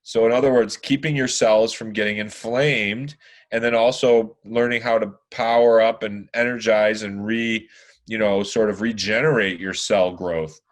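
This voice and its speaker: A man in his forties with a deep, hoarse voice, talking at length as a podcast guest, rambling with lots of fillers and restarts. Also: fairly even intonation, moderate pace. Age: 40s. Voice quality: deeper, hoarse voice. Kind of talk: casual chat.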